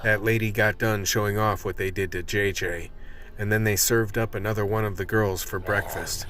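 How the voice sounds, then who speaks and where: An actor playing a world-weary undercover vice cop, telling his story in a measured, hard-boiled way for a radio play. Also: lowish voice, steady tone, fairly bright tone. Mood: grim, measured. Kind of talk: scripted radio play narration.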